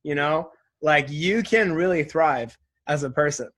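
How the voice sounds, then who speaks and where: A man's voice: on the high side, a little slow, fairly bright tone. A man in his twenties talking casually and reflectively as an interview guest, earnest, often saying "like" and "right?". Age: 20s.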